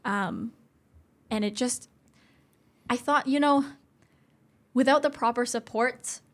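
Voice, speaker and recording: A woman's voice: fairly high pitch, slow pace. A young adult woman, speaking as an interview guest and explaining things earnestly, step by step. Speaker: interview guest, communication support specialist.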